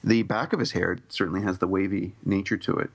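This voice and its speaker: A man co-hosting a podcast in casual chat, thinking aloud and hedging with many pauses. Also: low-pitched, fairly even intonation, gravelly. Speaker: podcast co-host.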